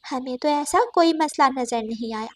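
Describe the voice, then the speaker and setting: A woman's voice: high voice, normal speed, darkish tone, no room sound, close to an everyday voice. A woman voicing a kind, caring mother bird in a cartoon story, speaking politely and earnestly.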